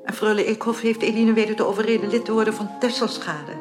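A woman's voice: mid-range voice, steady tone, fast talker, over music, close to an everyday voice. A woman playing an anxious, caring upper-class married lady in a Dutch radio drama, formal and plaintive. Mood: worried.

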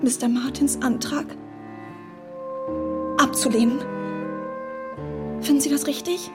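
Casting: sweetly gullible young lady, very high girlish voice, wavering and eager to please, period radio play with music behind. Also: steady tone, slow pace. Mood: anxious, hesitant. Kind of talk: period radio drama acting.

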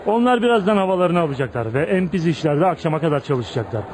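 A man's voice: a little high, expressive, quick pace, darkish tone, close to an everyday voice. A man acting in a Turkish radio play as a tough, streetwise young dockworker who hides his kindness behind blunt, swaggering talk. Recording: noisy radio drama recording.

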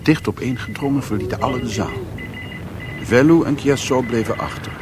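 A man's voice: mid-range voice, a little fast, a crowd in the background, close to an everyday voice. An actor playing a sombre man who recounts his grim adventures in literary radio drama narration. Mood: grave.

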